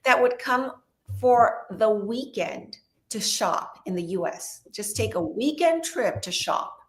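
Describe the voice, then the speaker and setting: A middle-aged woman from Venezuela, a podcast guest explaining things in an earnest, measured way. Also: fairly high pitch, animated. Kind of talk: podcast interview. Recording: echoey room.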